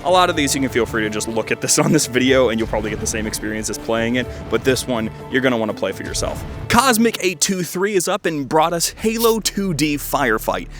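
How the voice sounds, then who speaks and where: A man's voice: mid-range voice, very animated, fast, few pauses. Man in his twenties over music. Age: 20s. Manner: rambling, enthusiastic, jokey asides. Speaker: video host reviewing fan games. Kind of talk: casual commentary.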